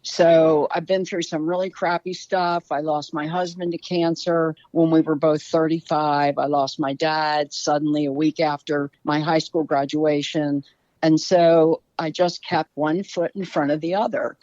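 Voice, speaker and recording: A woman's voice: low voice, little pitch movement, unhurried, no echo, lo-fi sound. An older woman with a Baltimore accent, chatting as a guest author on a podcast. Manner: chatty, warm storyteller. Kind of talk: casual podcast conversation.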